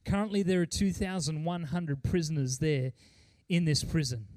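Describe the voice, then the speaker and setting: A middle-aged man preaching as a pastor, speaking earnestly and emphatically, with deliberate pauses and repeated phrases. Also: on the high side, a little mellow, no echo. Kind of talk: sermon with mission report and scripture reading.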